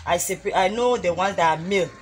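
A woman's voice: lowish voice, fast. A middle-aged woman from Ghana, a fish farmer, earnestly explaining her work step by step outdoors in her yard. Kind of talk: casual explanation on a farm tour. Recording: outdoor recording with clear background noise.

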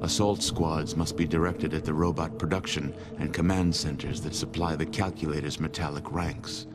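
An actor playing a solemn, measured war chronicler narrating a post-apocalyptic game. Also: male voice, very deep voice, fairly even intonation. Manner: grave, measured storyteller delivery. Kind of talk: scripted game cutscene narration. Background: music.

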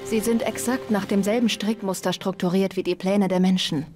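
A woman voicing an earnest warrior in a video game, with a formal, deliberate way of speaking. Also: medium pitch, fairly even intonation, over music, close to an everyday voice. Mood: matter-of-fact. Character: earnest, formal warrior woman, logical but newly emotional. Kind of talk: video game dialogue acting.

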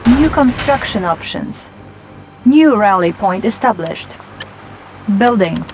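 A woman's voice: medium pitch, animated, slow, rough recording. A woman playing a composed military battle-control announcer in a game, clipped, formal and impersonal. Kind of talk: scripted status announcements. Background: game music.

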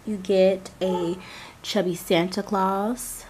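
A woman hosting a casual unboxing vlog, hoarse from a cold, chatty and gushing as she talks to her viewers. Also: mid-range voice, little pitch movement, slow pace, a little mellow, a little hiss or hum. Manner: chatty, gushing, addresses viewers as "you guys". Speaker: crafting channel host.

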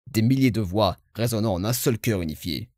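A man playing a pompous, self-satisfied game narrator with formal, measured, grandiloquent delivery. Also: lowish voice, lively intonation, darkish tone, no echo, studio quality, natural voice. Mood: moved, grandiose. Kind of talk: theatrical scripted game narration in French fan dub.

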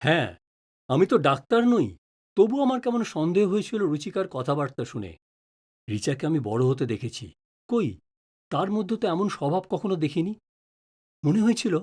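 A man's voice: high-pitched, very animated, a little fast, a little mellow. An actor playing a middle-aged husband and father in an audio drama, earnest, concerned and somewhat defensive.

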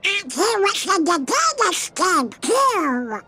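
A man playing an excitable, loyal cartoon duck sidekick with a squawky, garbled quack in a video game. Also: very high-pitched, slow, faint music behind, full character voice. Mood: excitable, grumbling.

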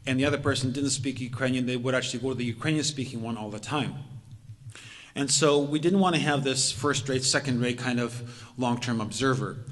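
An older man speaks steadily from a podium microphone, laying out his points one after another. His voice sits at an ordinary middle pitch. It carries a long reverb from the community hall around him. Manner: measured, methodical recounting. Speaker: presenter. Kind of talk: lecture presentation. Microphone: podium microphone, far camera.

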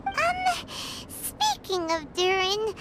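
A woman voicing a tiny, excitable fairy girl in a video game, with a squeaky childlike voice and chirpy, expressive delivery. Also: drawn-out words, exaggerated voice. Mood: curious, eager. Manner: chirpy, expressive, refers to herself in third person. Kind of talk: cartoonish video game character acting. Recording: loud background music under dialogue.

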